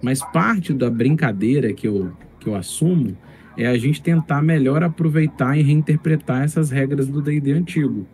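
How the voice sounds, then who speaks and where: A man's voice: medium pitch, moderate pace, a little mellow. A man with a Brazilian accent talking casually on a podcast in a reflective, explanatory way. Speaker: tabletop gamer, podcast speaker. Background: faint music.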